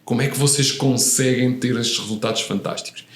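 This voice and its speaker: A man's voice: mid-range voice, fairly even intonation, fairly quick, roomy sound. A man in his fifties, born in Italy, talking thoughtfully as a podcast guest, with frequent hesitations and restarts. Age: 50s. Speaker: guest, real estate professional. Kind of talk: relaxed interview conversation.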